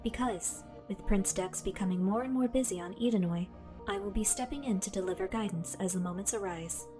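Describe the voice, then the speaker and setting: A woman plays a composed, all-knowing female AI that guides a young hero from inside his belt, in an audio drama. She speaks formally and without hurry, at a middle pitch. Music plays clearly beneath her words. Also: close to an everyday voice. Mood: solemn. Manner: measured, formal, solemn. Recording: music bed underneath.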